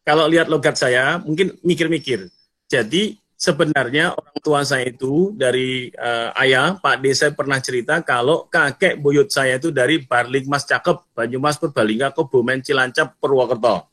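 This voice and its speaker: A man's voice: mid-range voice, moderate pace, fairly bright tone. A middle-aged man from North Sumatra, chatting and joking with the audience as a guest speaker in an online seminar over a computer mic. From North Sumatra, Indonesia. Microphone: computer mic on a video call.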